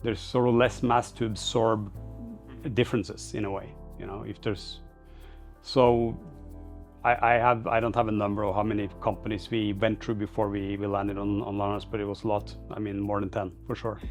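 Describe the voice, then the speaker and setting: Low, creaky-voiced man, rambling answers. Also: little pitch movement, normal speed, soft background music. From Norway. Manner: hesitant, loosely phrased, rambling. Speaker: startup founder being interviewed. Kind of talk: informal interview answers.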